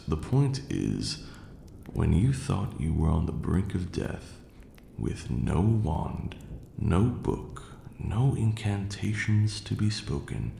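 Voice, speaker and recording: Ancient male vampire lord, acted by a man for ASMR roleplay: very low, heavy fry, formal, condescending yet tender mentor. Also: dark tone, full character voice. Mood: exasperated, instructive. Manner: measured, formal archaic diction, condescending yet doting. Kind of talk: slow intimate ASMR roleplay acting. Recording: roomy echo, faint background noise.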